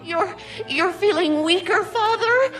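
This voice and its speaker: An actress playing a self-absorbed, wounded middle-aged daughter in a radio drama, plaintive and whining. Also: female voice, very high-pitched, lively intonation, slow, fairly bright tone, soft background music, heavily acted. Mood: hurt. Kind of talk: dramatic radio play acting.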